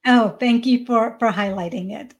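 Woman, forties, American accent, Hindi first language, higher-pitched voice, conversational podcast guest. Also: normal speed, muffled recording. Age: 40s. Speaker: podcast guest, parenting coach. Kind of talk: conversational interview.

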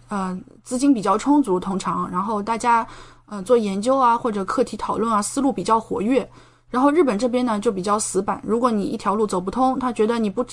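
Young Chinese woman explaining things conversationally. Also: mid-range voice. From China. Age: young adult. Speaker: podcast guest, doctoral student in medicine. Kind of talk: conversational interview answers, explanatory.

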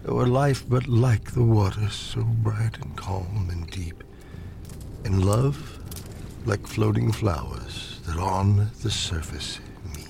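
A man giving a solemn, measured dramatic reading of poetry, often dropping into hushed, breathy phrase endings, as a poetry reader. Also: fairly low pitch, noisy background. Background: ambient sound design.

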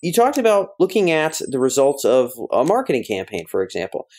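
A man's voice: mid-range voice, wildly swooping pitch, fairly quick, a little mellow. An American man hosting a conversational interview, wordy and informal, thinking aloud as he rephrases things. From United States. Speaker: podcast host interviewing a guest.

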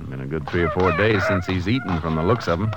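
An actor playing a calm, capable American Texas Ranger, laconic and soothing, in a 1950s radio drama. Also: male voice, low-pitched, quick pace, dull audio, lightly acted. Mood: calm, assured. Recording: very noisy old radio transcription.

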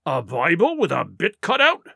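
A man's voice: sits high, big pitch swings, measured pace, pushed and bright, no room sound, clean studio sound, exaggerated voice. A man voicing a sly, domineering pirate sea cook in an audiobook, with blustering, derisive, rustic seafaring talk. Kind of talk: audiobook character voice.

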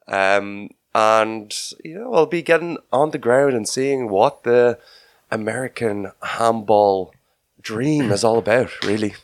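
A man's voice: lowish voice, takes it slowly, no room sound. A man co-hosting a sports podcast in casual conversation. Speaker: podcast co-host.

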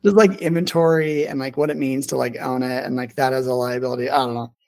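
A man's voice: medium pitch, steady tone, quick pace, darkish tone. A man hosting a casual podcast interview, talking informally and rambling a little, with "like" sprinkled through his sentences. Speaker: podcast host and business owner.

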